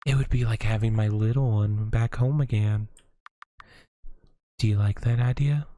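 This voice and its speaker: A man voicing a lonely, motherly witch woman who lives alone in the woods, speaking gently and hesitantly with sighs. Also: lowish voice, fairly even intonation, moderate pace, close to an everyday voice. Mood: wistful. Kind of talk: audio roleplay acting.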